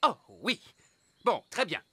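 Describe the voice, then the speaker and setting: A man's voice: sits high, huge pitch swings, slow pace, noisy background, full character voice. A man voicing a grumpy, stubborn, work-minded elf father in a French cartoon dub. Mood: glum. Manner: stubborn, matter-of-fact.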